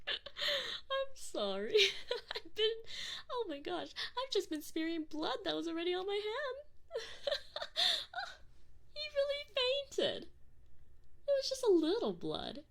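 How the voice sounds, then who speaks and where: A woman's voice: very high voice, creaky, heavily acted. A woman playing a sadistic, possessive female alien enforcer in an ASMR roleplay, close on the microphone, taunting with theatrical, sweet menace. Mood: gleeful, amused. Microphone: close binaural microphone.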